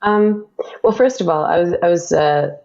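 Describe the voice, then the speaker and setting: A woman being interviewed about her podcast, talking hesitantly with many pauses. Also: fairly low pitch, vocal fry, darkish tone, dull audio. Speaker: guest, audio drama podcaster. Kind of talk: casual interview chat.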